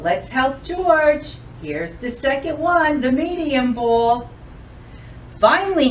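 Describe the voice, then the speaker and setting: A woman hosting a children's storytime, reading aloud and addressing young kids in an expressive, sing-song, deliberate way. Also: a little high, recorded from a distance, slight background noise, low-quality recording. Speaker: library storytime host.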